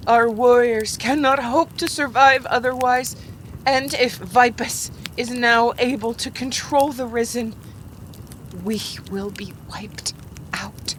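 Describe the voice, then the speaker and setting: A woman's voice: on the high side, fairly even intonation, takes it slowly, slight background noise. A woman playing a devoted, resolute consort who commands the dead, earnest and solemn, in a fantasy audio drama. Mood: worried, resolute.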